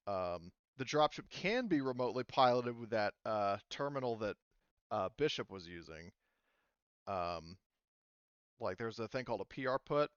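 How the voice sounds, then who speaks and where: A man working as game master, narrating a tabletop roleplaying game casually and haltingly, often correcting himself and swearing. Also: lowish voice, dry recording, muffled recording.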